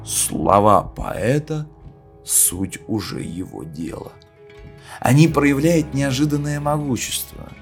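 A man playing a solemn poet, reciting a grave philosophical oration in a measured, declamatory way with emphatic pauses. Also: medium pitch, natural voice. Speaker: reader of a poet's speech. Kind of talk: dramatic literary recitation in an audio play. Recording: music under the voice. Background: music.

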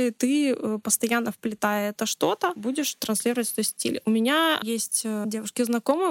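A woman explaining personal style in relaxed conversation, pausing with fillers. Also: fairly high pitch, creaky, no echo. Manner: hesitant, explanatory, with fillers and laughs.

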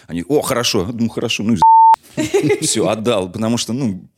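Low-voiced man telling casual stories. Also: normal speed, slight background noise. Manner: animated retelling with acted-out dialogue and slangy asides. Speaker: interview guest, composer. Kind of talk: casual anecdotal storytelling.

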